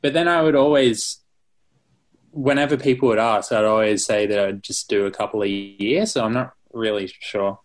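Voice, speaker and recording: A man's voice: fairly low pitch, normal speed. A young Australian man, a musician being interviewed, chatting casually and rambling with lots of fillers and restarts. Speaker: musician guest.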